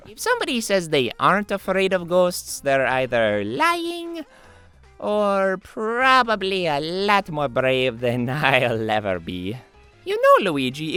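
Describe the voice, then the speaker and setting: A timid, geeky cartoon plumber, speaking high and earnest. The pitch leaps about with excitable exclamations, in a comedic fan-animation style over faint music. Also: male voice, clean tone, heavily acted.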